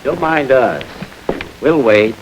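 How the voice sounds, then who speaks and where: An actor playing a gruff, threatening gangster robber in an old radio drama. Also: male voice, medium pitch, animated, slow, dull audio, slight background noise. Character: menacing male gangster robber, tough. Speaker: stickup man. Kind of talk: 1940s radio acting.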